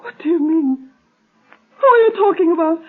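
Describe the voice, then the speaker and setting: An actress playing a distraught, loyal young daughter in an old-time radio drama. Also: female voice, very high-pitched, expressive, normal speed. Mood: distraught, tearful. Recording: dull old radio recording, faint music.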